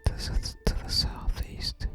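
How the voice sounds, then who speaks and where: Someone whispers softly and gently through an ASMR video game playthrough, making unhurried remarks. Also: faint music behind. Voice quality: unvoiced whisper. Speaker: game streamer.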